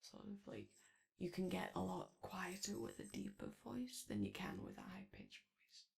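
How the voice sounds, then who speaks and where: A woman voicing an anxious, gentle male animatronic daycare robot in ASMR roleplay, with a strained, raspy voice and hesitant, apologetic speech. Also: a bass voice, huge pitch swings, normal speed, full character voice. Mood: insecure, wistful. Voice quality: strained, raspy, creaky, as if voice lost from yelling. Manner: hesitant, nervous, stammering, apologetic. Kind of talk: ASMR roleplay comfort audio.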